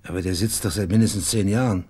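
An actor playing a dry, laconic male private detective with a husky voice in a radio play. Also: on the low side, quick pace, warm tone. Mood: dry, probing. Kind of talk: naturalistic radio play acting.

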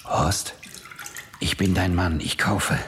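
An actor playing an excitable, boastful small-time speculator who tells his own story in a radio play, husky and theatrical. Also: sits low, takes it slowly, background noise. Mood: excited storytelling. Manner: theatrical, self-important. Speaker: narrator and lead actor. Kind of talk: 1970s German radio play, first-person narration and dialogue.

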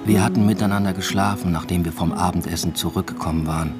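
Man as a deep-voiced, introspective academic narrator. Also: steady tone, quick pace, loud music behind the voice, lightly acted. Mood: reflective, melancholy. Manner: subdued, reflective. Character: introspective male academic narrating his own love affair. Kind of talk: literary first-person radio drama narration.

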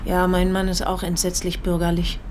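A woman's voice: on the low side, completely flat delivery, normal speed, darkish tone, a little hiss or hum, close to an everyday voice. An actress playing a sympathetic, naive and slightly nervous married woman traveller in a German radio play, polite and earnest. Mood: probing, earnest.

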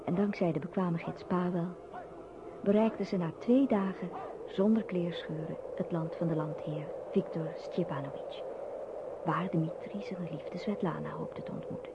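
A woman's voice: fairly low pitch, steady tone, normal speed. A woman narrating a radio drama as a scripted storyteller. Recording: dull, noisy old radio recording.

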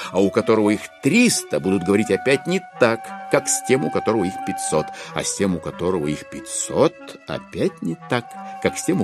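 A man acting as the wry, knowing storyteller of a classic satire, narrating with theatrical, ironic phrasing. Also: fairly low pitch. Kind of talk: scripted dramatic narration.

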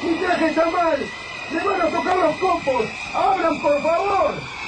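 A man's voice: very high voice, unhurried, noisy background. An actor playing a panicked man begging desperately for his life in a radio drama, crying out. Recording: distant cries under loud dramatic music.

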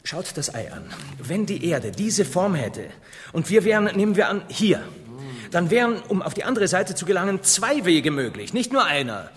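An actor playing an eager, stubborn young explorer in a theatrical radio play, explaining with fervour. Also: male voice, high voice, sing-song, moderate pace, a little hiss or hum. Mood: insistent. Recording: long hall reverb, music behind.

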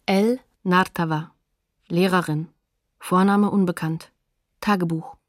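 An actress as a neutral documentary announcer, giving sources soberly and factually in a radio play. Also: female voice, on the low side, fairly even intonation, slow pace, dry recording, clean studio sound. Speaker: narrator naming sources, announcer of decrees.

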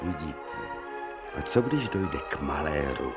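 A sardonic old professor surgeon. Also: male voice, extremely low pitch, sing-song, music in the background, full character voice. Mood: lecturing. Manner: theatrical, ironic, hums and whispers. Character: proud aging male professor surgeon, sardonic. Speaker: Professor Preobrazhensky. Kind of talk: 1990 radio drama acting.

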